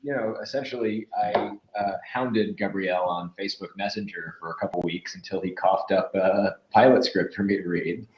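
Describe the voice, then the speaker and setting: A man's voice: low voice, normal speed, few pauses. A man who works as a voice actor, chatting casually as an interview guest. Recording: echoey room, dull lo-fi audio.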